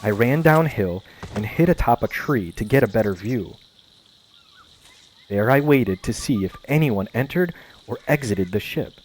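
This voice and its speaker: A man narrating a first-person adventure story in a measured, calm storytelling manner. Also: lowish voice, a little hiss or hum, rough recording. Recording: faint music behind voice.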